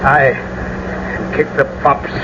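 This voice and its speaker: A man's voice: medium pitch, flat delivery, slow, lightly acted. Earnest young messenger, acted by a man, grave tone, classical radio drama, dull hissy broadcast.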